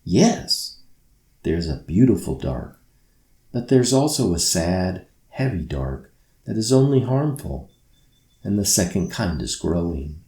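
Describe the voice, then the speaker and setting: A man voicing a gentle, mysterious magical spirit, soft and calm, in a children's bedtime story. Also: low voice, slow pace, echoey room, exaggerated voice. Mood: gentle, grave.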